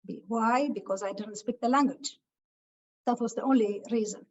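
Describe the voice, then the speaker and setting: A woman from Ethiopia giving a webinar talk over a video call, speaking haltingly with many filler sounds. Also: a little high. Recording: muffled lo-fi call audio.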